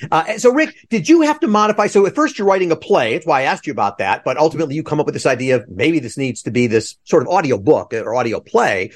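A man's voice: on the high side, lively intonation, fast, few pauses. A man hosting a podcast interview in a rambling, conversational way. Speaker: podcast host.

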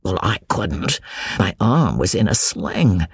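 A narrator performs a jovial young English gentleman deep in his cups in a storybook reading. He brags and rambles in slang, amused by himself, with wildly swinging pitch and a very husky tone. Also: big pitch swings, takes it slowly, no echo, heavily acted. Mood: tipsy, excited.

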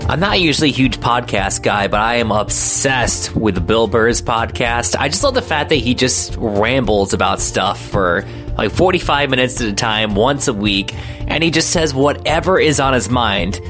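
A woman's voice: rumbling low, lively intonation, moderate pace, a bit bright. A woman giving a casual, chatty recommendation. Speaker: podcast fan giving a recommendation. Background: faint guitar music.

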